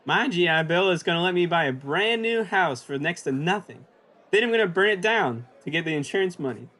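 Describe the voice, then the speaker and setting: A man's voice: high voice, expressive, fairly quick, pushed and bright. A man playing a cocky, scheming young war veteran with boastful delivery in old-time radio sketch comedy. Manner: cocksure, boastful.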